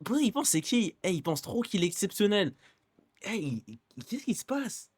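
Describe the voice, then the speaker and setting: Exclamatory slangy young streamer, dry sound. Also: male voice, high voice, sing-song, moderate pace, breathy edge, dry recording. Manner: slangy, teasing, exclamatory, repeats himself. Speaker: streamer reacting to a video. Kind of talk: casual live reaction commentary.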